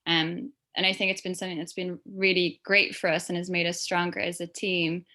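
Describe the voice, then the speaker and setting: A woman's voice: lowish voice, level tone, brisk, sharp tone. An Irish woman talking as a creative guest on a video-call panel, chatty and loose, with lots of fillers. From Ireland. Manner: chatty, rambling with many fillers.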